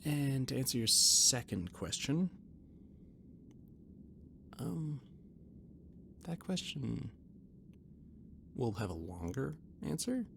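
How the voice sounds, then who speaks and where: A man playing a shy, rambling alien catboy ship mechanic in an intimate roleplay audio drama, stammering and gently defensive as he talks. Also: mid-range voice, big pitch swings, takes it slowly, gravelly, pauses often, a little hiss or hum, exaggerated voice. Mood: playfully defensive.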